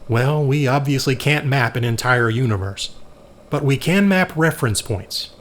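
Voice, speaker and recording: A man's voice: mid-range voice, moderate pace, a bit bright, natural voice. Guy playing an earnest scientist in charge, audio drama, explains things carefully, bit of noise behind. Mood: explanatory. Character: earnest, commanding male scientist leading a lab investigation.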